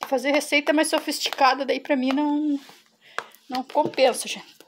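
A middle-aged woman talks to her viewers in a bright, high voice. She walks them through a simple recipe at home on her farm and keeps turning to them with "gente". A faint hiss of noise sits under her voice. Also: flat delivery, moderate pace. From Brazil. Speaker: cooking vlogger. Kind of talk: casual cooking demonstration.

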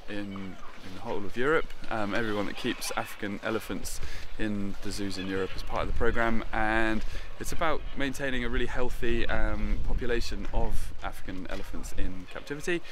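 Low-voiced man explaining outdoors. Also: brisk, few pauses. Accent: English. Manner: explanatory, many fillers and restarts. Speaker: zoo curator. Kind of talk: explanatory interview. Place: outdoor elephant enclosure. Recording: outdoor noise behind.

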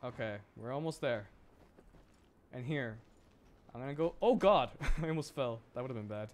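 Young guy rambling on a game stream, playful, bit of noise behind him, average pitch. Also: lively intonation, moderate pace. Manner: playful, rambling, laughs often. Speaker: livestreamer. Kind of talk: casual gaming livestream chat.

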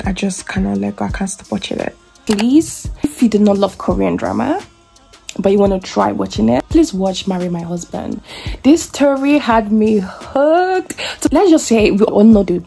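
A woman's voice: medium pitch, expressive, normal speed, creaky, warm tone, faint music behind. A young woman talking to her phone camera from bed in a bedroom, chatty and confiding as she eagerly recommends something. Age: young adult. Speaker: vlogger. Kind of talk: casual vlog chat to camera.

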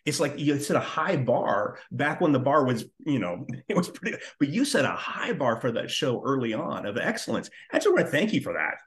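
A man's voice: medium pitch, very animated, brisk, hardly any pauses. An American man in his fifties hosting a casual video-call interview, effusive and emphatic. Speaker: interview host. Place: living room, indoors.

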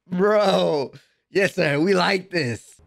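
A young American man giving excited, slangy, exclamatory live reaction commentary at a close desk mic in a room at home. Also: high-pitched, very slow, creaky. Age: young adult. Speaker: reaction video host. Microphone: close desk microphone.

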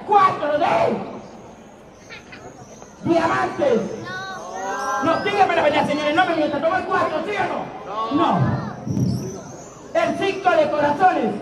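A Cuban man performs playful street magic patter in a strikingly high, projected voice. He jokes at his own expense as he works the audience. The sound is distant and echoey, with a lively crowd around him in the open air. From Cuba. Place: outdoor town square in front of an audience. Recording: echoey outdoor crowd recording from far off.

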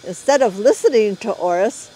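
A middle-aged woman reading a children's story aloud in an expressive storyteller's way. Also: mid-range voice, moderate pace, poor audio.

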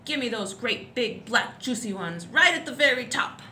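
Woman acting a furious old duke, pompous, shouting, swooping pitch, bright forceful tone, storybook character, roomy echo. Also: lively intonation, moderate pace. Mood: impatient, thwarted. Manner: shouting, bellowing, exclamatory. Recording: roomy echo, faint background noise.

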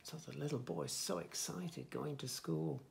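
A man in his fifties reading a picture book aloud to young children, with expressive, sing-song delivery and soft asides. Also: a little high, a little slow, vocal fry, slight background noise. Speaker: children's story reader, host. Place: small furnished room indoors, bookshelves.

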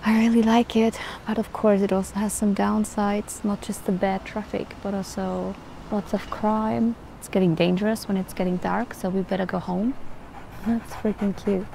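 A young woman from Austria, chatting casually to the camera as a vlogger, relaxed and talkative. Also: medium pitch, moderate pace, vocal fry, slight background noise, rough recording. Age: young adult.

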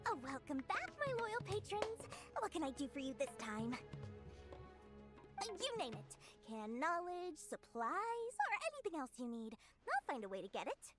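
A woman's voice: high-pitched, very animated, moderate pace, over loud music. A woman voicing a small, sly, greedy girlish merchant in a video game, sweet-talking customers with theatrical, flowery sales patter. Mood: ingratiating. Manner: ingratiating, flowery salesperson talk.

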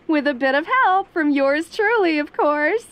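A woman's voice: sits high, expressive, unhurried, fairly bright tone. A woman playing a cheerful, doting yandere mother who teases in a sugary, coaxing way in an audio roleplay. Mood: doting, eager.

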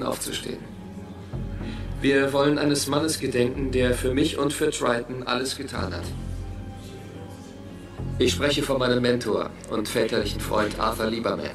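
A man's voice: mid-range voice, close to an everyday voice. An actor playing an earnest businessman delivering a formal, solemn, declamatory speech in a radio play. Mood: grave, moved. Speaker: company executive. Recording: echoey hall, distant, music behind.

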